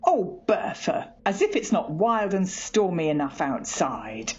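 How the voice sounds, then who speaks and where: Woman acting a husky, very animated scolding mother. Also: lowish voice, unhurried, lo-fi sound, full character voice. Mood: homely, uneasy. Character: practical, scolding older mother and housewife. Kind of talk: amateur radio play acting.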